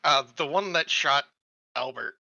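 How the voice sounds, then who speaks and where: A man's voice: on the high side, unhurried, vocal fry, bright, forceful tone, no echo, highs cut off. A man talking casually as a player in a tabletop roleplaying game.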